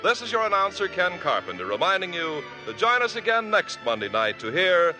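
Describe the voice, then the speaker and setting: A man announcing the cast credits and commercials on a 1950s radio show, with a smooth, persuasive delivery. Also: high voice, sing-song, brisk, over music.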